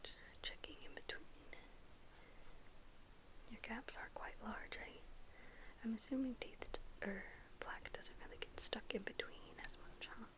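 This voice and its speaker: A woman speaking in a breathy whisper for an ASMR dentist role play, gently reassuring and halting, with pauses. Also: fairly high pitch, steady tone, low-quality recording. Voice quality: breathy, mostly unvoiced whisper.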